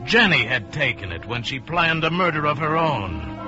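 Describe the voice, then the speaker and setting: A man's voice: on the high side, very animated, normal speed. An American actor giving a dramatic radio suspense performance as a storyteller and an anguished, scheming man in love. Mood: grave, suspenseful. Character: anguished scheming murderer, a lovestruck man telling his downfall. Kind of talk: 1950s radio suspense acting, dramatic narration. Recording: muffled vintage radio recording, music underneath.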